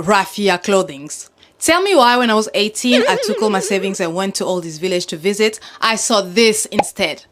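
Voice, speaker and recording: A young woman from Congo giving earnest, animated commentary, laughing now and then between points. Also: mid-range voice. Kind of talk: scripted talking-head commentary.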